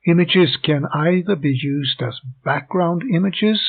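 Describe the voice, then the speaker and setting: An older man talks slowly and methodically through a software tutorial, his voice gravelly and husky. The recording is dry and tinny, like a phone line. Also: a little high. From Sweden. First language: Swedish. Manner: methodical, plain step-by-step explaining. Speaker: hobby game developer.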